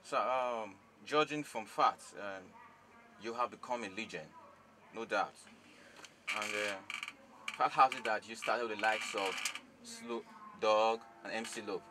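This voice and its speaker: A man's voice: lowish voice, unhurried, noisy background. A man interviewing an artist, asking formal questions politely and a bit hesitantly, recorded in a small studio. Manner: polite and deferential, hesitant with ums. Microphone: handheld mic.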